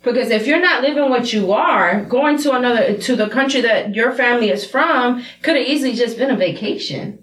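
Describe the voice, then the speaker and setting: A woman in her thirties speaks bluntly and with passion, her voice set a little high. She sits back from the microphone in a roomy space, so the sound carries an echo. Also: brisk, hardly any pauses, fairly bright tone, distant mic, a little hiss or hum. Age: 30s. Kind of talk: candid group discussion. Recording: roomy sound, away from the mic.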